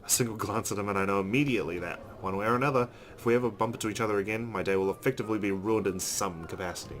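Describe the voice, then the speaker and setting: A man hosting a let's play, reading a visual novel's narration aloud in an expressive storytelling way. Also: lowish voice, racing. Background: background music with guitar.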